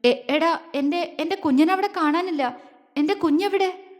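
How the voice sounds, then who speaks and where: Audio-story role: desperate, demanding young husband, played by a woman, high-pitched, in long reverb. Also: normal speed. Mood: panicked, trembling. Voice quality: trembling, roaring when angry. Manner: stammering, urgent, demanding. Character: distraught young husband, furious, searching for missing wife. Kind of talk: dramatic audio-story dialogue.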